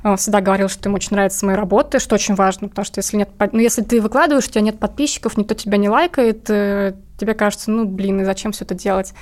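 Fast-talking woman in casual conversation. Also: medium pitch, steady tone, darkish tone. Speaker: guest, illustrator and project creator.

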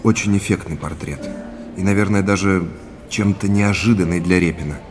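An actor playing an earnest, inquisitive businessman in a naturalistic audio drama, polite and measured in conversation. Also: sits low, steady tone, over music, lightly acted. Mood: eager, respectful. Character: earnest, inquisitive businessman tracing his family's past.

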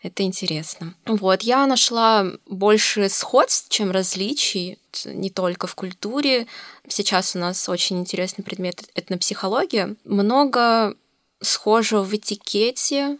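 A young woman talks as a guest on a podcast, relaxed and conversational. Her voice is soft and mid-pitched, and her sentences tend to fade quietly as they end. The recording is dry and close, with no room sound. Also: unhurried, dry recording. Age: young adult. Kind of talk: casual chat.

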